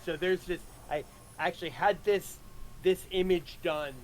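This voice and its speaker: Casting: man, animated higher voice, casual stream host who stumbles over repeats, faint noise behind. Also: low-quality recording. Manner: halting, repeats words. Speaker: streamer, audio drama creator. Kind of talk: casual live stream chat.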